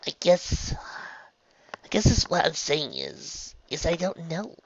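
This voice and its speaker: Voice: mid-range voice, huge pitch swings, highs cut off, slight background noise, pitched above the performer's own voice, exaggerated voice. A narrator voicing an emotional young female unicorn in a storybook, stammering, apologetic and unsure of herself. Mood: hesitant, yearning. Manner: stammering, halting.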